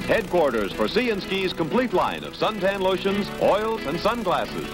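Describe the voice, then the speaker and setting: A man announcing a 1960s suntan commercial in a punchy, emphatic sales pitch. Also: very animated, moderate pace, music in the background.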